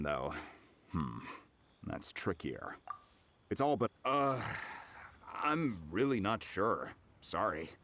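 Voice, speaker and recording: Deep-voiced humble blacksmith. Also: male voice, a bit bright, heavily acted. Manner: hesitant, folksy, chuckling, colloquial. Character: humble, friendly male blacksmith, a bit slow to catch on. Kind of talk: video game voice acting.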